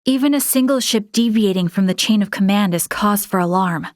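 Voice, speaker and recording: A woman voicing an earnest, dutiful young female starship first officer in a video game, with formal, clearly articulated military speech. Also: mid-range voice, a little fast, dry recording, clean studio sound, close to an everyday voice. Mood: earnest, composed. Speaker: game character voice actor.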